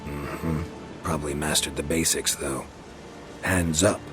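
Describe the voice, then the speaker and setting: A man's voice: extremely low pitch, steady tone, unhurried. An actor playing a terse, dry middle-aged male monster hunter in video game dialogue, with game music behind. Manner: terse, dry, clipped sentences.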